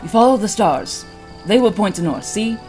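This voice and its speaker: A man's voice: sits high, animated, moderate pace, darkish tone. A modest, earnest healer played by a man, formal and courteous in speech. The voice is recorded over light music in a fantasy radio play. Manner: formal, polite. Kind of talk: fantasy audio drama acting.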